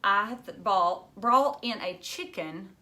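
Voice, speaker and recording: A woman's voice: mid-range voice, expressive, slow, sharp tone. A woman reading a children's novel aloud expressively, like a teacher narrating to pupils.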